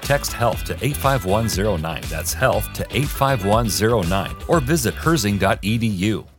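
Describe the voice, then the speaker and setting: Lowish male ad announcer over music. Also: expressive, moderate pace. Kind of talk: scripted commercial narration.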